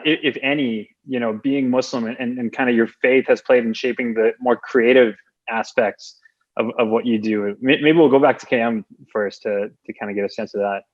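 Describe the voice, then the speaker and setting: A man moderating a video call panel, friendly and stammering, often repeating words as he poses questions. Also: medium pitch. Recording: slightly dull, muffled sound.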